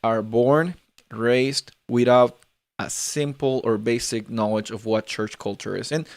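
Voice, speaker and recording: Chatty Peruvian-born man hosting, smooth voice. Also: medium pitch, steady tone, measured pace, dry recording. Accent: mixed Latin American Spanish. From Peru. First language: Spanish. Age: 20s. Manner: chatty, repeats words, fills with "like". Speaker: host. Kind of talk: live conversational interview.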